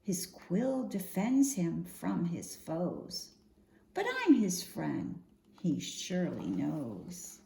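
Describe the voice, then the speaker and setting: A woman reading a picture book aloud for young children in an expressive, singsong storytime manner, often posing questions to her listeners. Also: lowish voice, drawn-out words, vocal fry. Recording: roomy echo, faint background noise.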